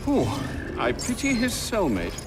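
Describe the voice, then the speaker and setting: An actor playing an older British gentleman butler in a video game, formal and polite with an arch, sardonic wit. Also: high-pitched, wildly swooping pitch, a little slow, heavy background noise, full character voice. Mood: dry, sardonic. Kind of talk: video game cutscene acting. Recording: loud score behind.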